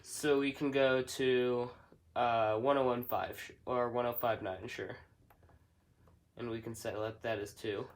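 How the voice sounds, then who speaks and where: A man giving a casual, somewhat halting hands-on product demonstration, explaining step by step. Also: mid-range voice, fairly even intonation. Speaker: hobbyist product reviewer.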